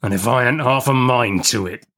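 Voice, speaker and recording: A man voicing a rough, threatening convict in a storybook reading, growling and barking commands. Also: mid-range voice, moderate pace, fairly bright tone, close to an everyday voice. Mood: menacing, brooding. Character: menacing ravenous escaped male convict, rough and threatening.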